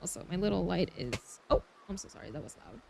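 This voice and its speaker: A woman game streamer reading story text aloud, stumbling over words now and then and breaking off into expressive asides. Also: fairly low pitch, fast talker, gravelly, slight background noise. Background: music.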